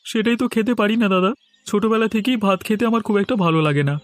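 A man's voice: high voice, rapid-fire, dry recording, faint music behind, close to an everyday voice. A man voicing a thin, meek young farmer in a village cartoon, speaking hesitantly but eagerly. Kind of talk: cartoon voice acting.

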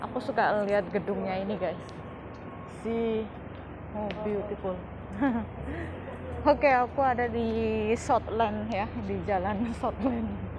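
A woman's voice: mid-range voice, takes it slowly, dull audio. A woman chatting casually while she walks through streets and a mall, recorded on a handheld phone. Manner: chatty, relaxed commentary while walking. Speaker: vlogger. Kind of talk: casual walking vlog. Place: outdoor streets and indoor shopping mall. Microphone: handheld phone camera. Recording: phone video with loud street noise. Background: street and mall ambience.

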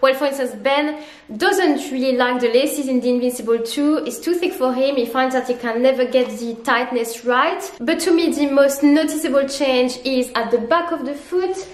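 A woman's voice: sits high, normal speed, echoey room. A young woman with a congested, stuffy voice from a cold, chatting upbeat to camera as she reviews running shoes at home. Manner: chatty, upbeat, explains step by step. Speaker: running vlogger. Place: living room at home, indoors.

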